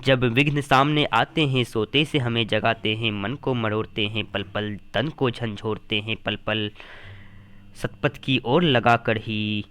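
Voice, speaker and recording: A man giving a dramatic poetry recitation in a declamatory, measured, rhythmic delivery of short phrases. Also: mid-range voice, fairly even intonation, fairly bright tone, clean tone. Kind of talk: dramatic recitation of Hindi epic verse.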